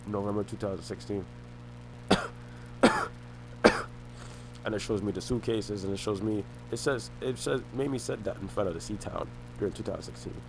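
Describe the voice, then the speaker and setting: A young man talking to the camera in a rambling monologue, often halting, repeating himself and restarting phrases. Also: fairly low pitch, creaky, a little hiss or hum, low-quality recording. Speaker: vlogger.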